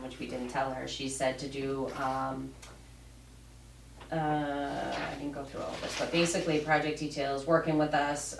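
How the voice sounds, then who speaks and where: A woman with a low voice talks casually through meeting business, pausing on fillers as she goes. She sounds distant from the mic, and the room is echoey. A faint background noise sits under her voice. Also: gravelly. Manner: chatty, halting with fillers. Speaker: committee staff member.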